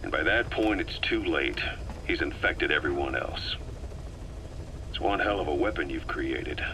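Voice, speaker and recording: A deep, cool-headed officer. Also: male voice, expressive, unhurried, background noise, full character voice. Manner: dry, clipped, analytical. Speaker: soldier officer. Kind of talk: video game cassette tape dialogue.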